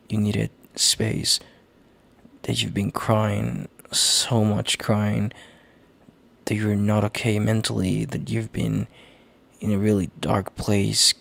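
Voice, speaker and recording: A man playing a gentle, worried boyfriend in an intimate audio roleplay, speaking in a halting, tender, hushed way with a gravelly, husky, breathy voice. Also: lowish voice, little pitch movement, exaggerated voice. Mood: tender, aching. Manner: halting, tender, hushed, sighing between phrases. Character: gentle worried boyfriend, relieved and heartfelt, on a call.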